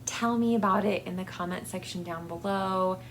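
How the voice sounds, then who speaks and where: A woman chatting casually as a book vlog host, in a halting, hesitant way that often trails off mid-thought. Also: medium pitch, slight background noise, rough recording.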